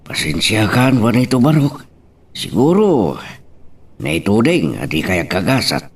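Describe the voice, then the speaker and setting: Man acting slow, deep old Ilocano father. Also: sing-song, breathy edge, far from the mic, exaggerated voice. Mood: consoling. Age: older. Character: old rural father, practical and pressing, fond of his daughter. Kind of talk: Ilocano audio drama acting.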